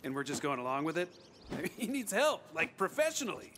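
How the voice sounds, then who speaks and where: An actor playing a skeptical, bemused bystander, speaking in an incredulous, sardonic way. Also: male voice, fairly high pitch, very animated, brisk, noisy background.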